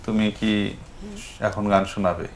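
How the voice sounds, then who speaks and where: A man with a Bangladeshi accent hosting a festive phone-in show, speaking politely with hesitant pauses. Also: on the low side, flat delivery, a little hiss or hum. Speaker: live TV show host.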